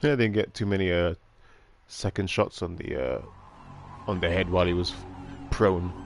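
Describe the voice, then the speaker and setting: A man's voice: low-pitched, fairly even intonation, normal speed. A man giving casual live commentary as he streams his own gameplay. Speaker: game streamer. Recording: loud game music behind the voice.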